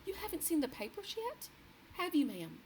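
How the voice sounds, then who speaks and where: A woman's voice: very high-pitched, wildly swooping pitch, normal speed, a little mellow, slight background noise, voice pushed up, heavily acted, rough recording. A woman voicing a startled, deferential middle-aged maid who keeps saying ma'am. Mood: flustered.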